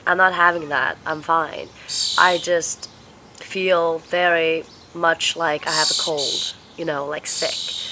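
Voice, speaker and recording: A young woman vlogging casually at home, stuffed up and congested, talking in a slow, halting, tired way. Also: fairly low pitch, noisy background. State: congested, sniffly with a cold. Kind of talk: casual vlog chat. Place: home, indoors.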